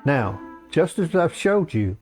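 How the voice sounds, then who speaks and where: A man acts out an elderly priest in a comic fantasy storybook. He is bossy and unflappable, speaking in old-fashioned, matter-of-fact phrases. His pitch moves around a lot, with faint music underneath. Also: big pitch swings, takes it slowly, a little mellow.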